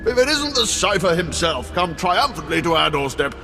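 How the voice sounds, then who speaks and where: Excitable, high-pitched intelligence analyst. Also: male voice, moderate pace, creaky, heavily acted. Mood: gleeful, gushing. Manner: effusive, repeats himself, theatrical. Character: excitable, gushing male intelligence analyst, eager to please. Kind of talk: theatrical video game dialogue. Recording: long reverb, music behind.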